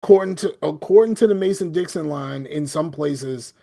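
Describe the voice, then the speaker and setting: Southern guy in his 40s or so, kinda higher voice, rambles w/ lots of fillers on a podcast. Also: normal speed, vocal fry, mellow. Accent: Southern American. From Southern United States. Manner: rambling, many fillers and "you know what I mean". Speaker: podcast co-host. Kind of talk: casual chat.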